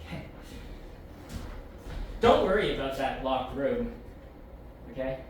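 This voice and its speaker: A man's voice: medium pitch, animated, slow. Super echoey distant guy playing a nervous otherworldly agent, hesitant, dodgy, audio drama. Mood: flustered. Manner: hesitant, stammering, evasive. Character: evasive, nervous otherworldly agent explaining strange rituals. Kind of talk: science fiction audio drama acting. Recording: very echoey room, distant mic, faint noise.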